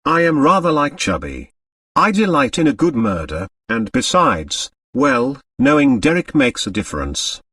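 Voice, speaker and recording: A man takes the part of a young upper-class woman in a mystery audiobook. She is wry and detached, with a slow drawl that swoops up and down. The sound is dry. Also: mid-range voice, big pitch swings, bright, forceful tone, breathy edge, no room sound, distant mic, full character voice. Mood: musing.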